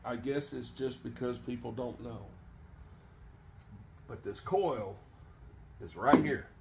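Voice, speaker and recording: A man's voice: mid-range voice, creaky. Plain-spoken man over background noise. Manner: halting, plain-spoken, checks with "okay". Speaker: how-to video presenter. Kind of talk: casual hands-on tutorial. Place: truck bed by a cluttered garage or workshop.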